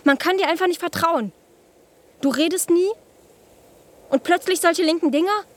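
A hot-tempered young woman in a radio play. Her voice sits high and her delivery is blunt and colloquial, with plenty of scorn and sarcasm. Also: moderate pace. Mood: cold, resolute. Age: young adult. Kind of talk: radio drama dialogue.

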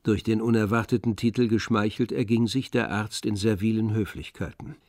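A man narrating a literary radio drama from a script, measured and composed. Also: fairly low pitch, fairly even intonation, a little mellow, no echo, clean studio sound.

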